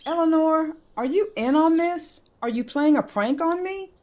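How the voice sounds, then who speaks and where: A fussy older woman, played by an actress in a radio comedy, set in her old-fashioned ways. Her voice is a little high and very animated in pitch. She is prim, stubborn and emphatic. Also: a little slow. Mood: dismissive. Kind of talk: radio play acting.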